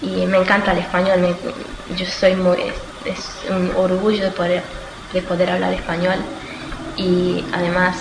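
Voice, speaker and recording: A young woman with a fairly low, very even voice, speaking as an interviewee. She feels her way through answers, often stopping to rephrase. The room echoes and there is clear noise behind her. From Australia. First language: Spanish. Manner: halting, searching for words, self-correcting. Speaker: student being interviewed.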